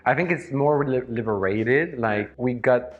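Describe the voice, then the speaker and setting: A man's voice: mid-range voice, measured pace, phone-quality sound. French man in casual discussion. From northern France. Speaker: panel participant. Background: music.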